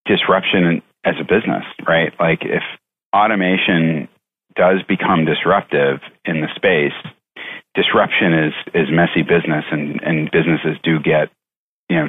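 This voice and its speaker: Very deep-voiced man on a phone line. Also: slightly rough, no room sound. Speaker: chief executive, interview guest. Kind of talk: conversational interview answers.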